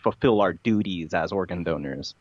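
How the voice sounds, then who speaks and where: A man telling his story as a podcast guest in a casual, matter-of-fact deadpan, with halting phrasing. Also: low-pitched, no room sound. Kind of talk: casual interview storytelling, played straight. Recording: dull, slightly lo-fi podcast audio.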